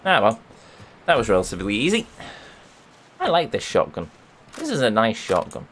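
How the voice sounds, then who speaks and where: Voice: medium pitch, wildly swooping pitch, moderate pace, fairly bright tone, slight background noise. A video game streamer giving casual, sluggish commentary, muttering to themselves with playful mock-formal turns of phrase. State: sluggish, not fully awake.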